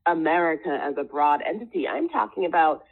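A woman's voice: low-pitched, expressive, fairly quick. A woman from the Caribbean, a guest in an interview, speaking earnestly and emphatically, stacking up point after point. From Caribbean, Jamaica and Trinidad. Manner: emphatic, building long lists. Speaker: guest, diversity consultant. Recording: tinny phone-like line.

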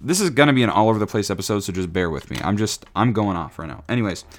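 A man in his twenties hosting his own podcast, rambling casually with lots of fillers and restarts, his voice raspy from singing. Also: on the low side, expressive, rapid-fire. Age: 20s. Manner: rambling, self-correcting, frequent fillers. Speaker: podcast host. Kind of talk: rambling solo podcast chat.

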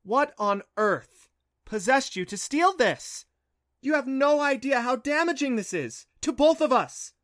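A man's voice: sits high, animated, moderate pace, fairly bright tone, pitched above the performer's own voice. A man voicing a stern, exasperated mother archaeologist who scolds her son. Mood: scolding. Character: stern worried mother archaeologist, middle-aged woman.

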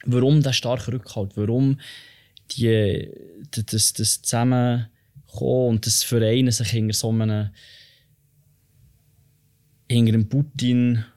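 A man interviewing a guest, asking measured questions while searching for words. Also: mid-range voice, flat delivery, a little mellow.

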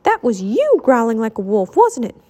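A woman's voice: on the high side, huge pitch swings, moderate pace, mellow. A woman voicing a sensible, grumpy, skeptical male cartoon mouse in a children's storybook, with a stern, scolding manner. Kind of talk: children's storybook character acting.

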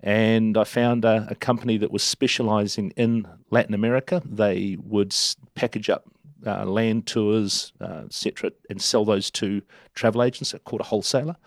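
Middle-aged New Zealand man, low voice, dry close sound; easygoing storyteller who restarts a lot. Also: fairly even intonation, moderate pace, no echo. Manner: chatty, self-deprecating, many restarts. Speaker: interview guest. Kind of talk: relaxed storytelling.

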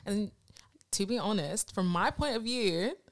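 A woman's voice: mid-range voice, expressive, a little slow. A young woman from Nigeria co-hosting a podcast, chatting casually and teasing her friend, with frequent laughs. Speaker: podcast co-host. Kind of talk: casual chat.

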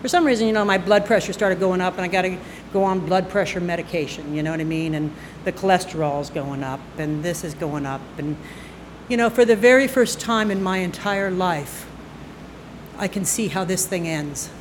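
A woman in her sixties telling a personal story on stage into a microphone, conversational and halting, with fillers and bursts of laughter. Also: fairly low pitch, slight background noise, poor audio. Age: 60s. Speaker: storyteller at a live storytelling night. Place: stage at a live event.

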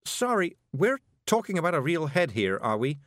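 A man's voice: on the high side, sing-song, slow pace, no room sound, clean studio sound, pitched above the performer's own voice. A man voicing a polite, puzzled, kindly woman with a hesitant, questioning manner in a storybook reading. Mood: aghast.

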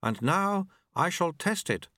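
Man playing a patient sage, raised pitch. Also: big pitch swings, slow, bright, forceful tone, no echo, studio quality, exaggerated voice. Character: calm, patient male master sage.

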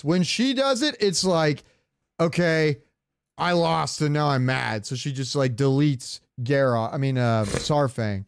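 A man co-hosting a podcast in casual chat, talking like an animated, argumentative fan. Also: a little high, clear, resonant tone, no room sound, clean studio sound. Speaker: podcast co-host. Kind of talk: casual chat about game cinematics.

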